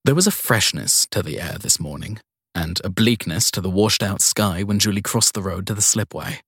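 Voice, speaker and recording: Male narrator, low pitch, husky edge, measured scripted storytelling, audiobook style. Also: a bit bright, dry recording, studio quality.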